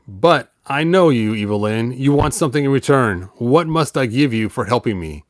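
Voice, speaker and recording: A man voicing a cold, imperious warlord villain in a storybook, forceful and demanding. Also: mid-range voice, animated, moderate pace, no room sound, studio quality, close to an everyday voice. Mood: greedy, demanding. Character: cold, commanding male warlord of a wicked horde.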